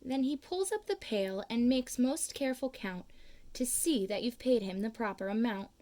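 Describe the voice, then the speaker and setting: A woman reading a children's picture book aloud, slow and expressive, with big swings in pitch on key words.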